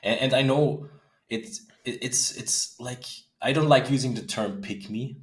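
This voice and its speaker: A man's voice: mid-range voice, unhurried. A man in his twenties with an Austrian German accent, chatting casually as a podcast guest. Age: 20s. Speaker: podcast guest, author and men's coach. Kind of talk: casual interview chat.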